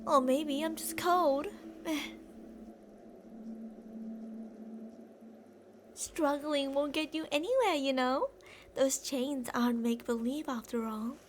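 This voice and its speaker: A woman's voice: sits high, a little hiss or hum. A woman playing an obsessive, delusional female kidnapper who rambles theatrically and teasingly to a captive in an ASMR roleplay. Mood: smug, teasing.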